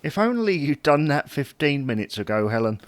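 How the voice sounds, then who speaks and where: A man's voice: mid-range voice, big pitch swings, moderate pace, lightly acted. A man playing a teasing, sceptical husband in a comedy audio drama, wry and reasoning. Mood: teasing, cautious. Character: teasing, sceptical husband, cautious about money.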